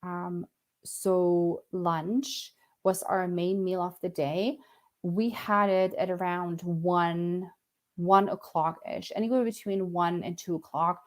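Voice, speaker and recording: A middle-aged woman from Germany talks in a somewhat low voice as a nutritionist. She explains casually and thoughtfully, pausing on fillers and restarting her sentences as she goes. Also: steady tone. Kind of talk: casual educational talk to camera.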